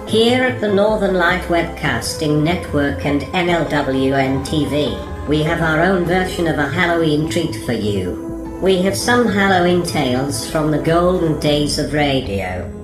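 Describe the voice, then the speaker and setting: A woman's voice: deep voice, expressive, measured pace. A woman host reading a scripted, spooky-themed introduction to an old-time radio program. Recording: echoey room with prominent music behind. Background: music.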